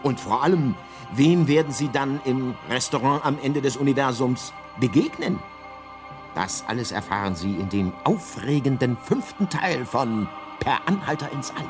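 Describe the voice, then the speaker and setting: A man's voice: mid-range voice, lively intonation, normal speed. Man narrating a radio serial over music. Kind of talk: scripted dramatic teaser narration. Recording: music under the voice.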